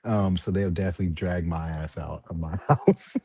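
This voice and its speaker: A man's voice: sits low, steady tone, moderate pace, warm tone, husky, no room sound. A young man joining as a guest on a live chat, easygoing, laughing often and hedging his guesses.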